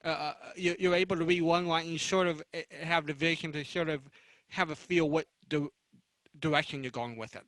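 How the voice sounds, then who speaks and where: Bright-voiced male moderator, halting questions. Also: fairly high pitch, fairly even intonation, dry recording, rough recording. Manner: hesitant, searching phrasing. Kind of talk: interview questions. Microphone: video call.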